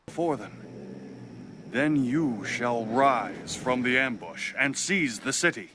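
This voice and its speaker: An actor playing a commanding ancient Israelite military leader in a dramatized audio Bible, solemn and declamatory. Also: male voice, mid-range voice, lively intonation, slow, background noise.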